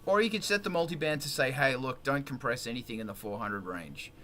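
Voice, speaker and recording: An enthusiastic man leads a casual discussion about mixing music. His voice is bright and forceful, and he piles on repetitions to drive a point home. There is a faint hum of noise behind him. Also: medium pitch, fairly quick. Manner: enthusiastic, emphatic, repeats words for stress. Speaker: host of a music production panel.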